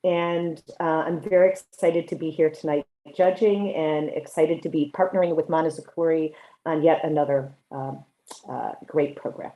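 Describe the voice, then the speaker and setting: A woman's voice: low voice, steady tone, moderate pace, roomy sound, dull audio. A middle-aged woman giving semi-formal introductory remarks as a contest judge and investor. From United States.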